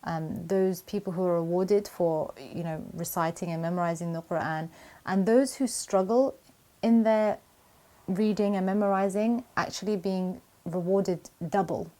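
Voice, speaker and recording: A woman with a slightly low voice answers viewer questions on Quran memorization. She talks in a calm, measured, encouraging way and drops in Arabic religious phrases. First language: not Arabic. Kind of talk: Q&A video talk to camera.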